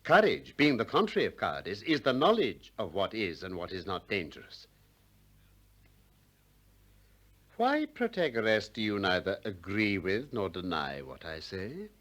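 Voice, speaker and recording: An actor playing a male philosopher in a 1960s radio drama, courteous but relentless, articulate and measured as he questions insistently. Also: on the low side, huge pitch swings, fairly bright tone, heavily acted. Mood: pressing.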